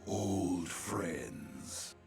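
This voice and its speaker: Casting: a laid-back demon for a game, very gravelly, slow and casual, loud music underneath. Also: male voice, sits low, full character voice. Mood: nonchalant. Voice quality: gravelly, creaky.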